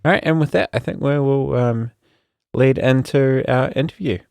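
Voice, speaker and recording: A man's voice: mid-range voice, normal speed, gravelly, a little mellow, smooth, no echo, studio quality. A man co-hosting a tech podcast, talking in an easygoing, casual way. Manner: easygoing, brief agreeing replies. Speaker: podcast co-host.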